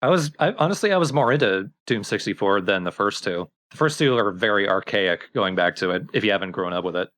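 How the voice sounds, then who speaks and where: A man's voice: on the low side, lively intonation, brisk, few pauses, a bit bright. A man co-commentating on video games in a casual, unscripted style.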